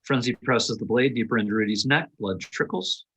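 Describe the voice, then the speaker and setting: Male narrator for script directions, medium pitch, a little rasp, reading aloud. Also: a little fast, a bit bright. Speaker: reader of stage directions at a table read.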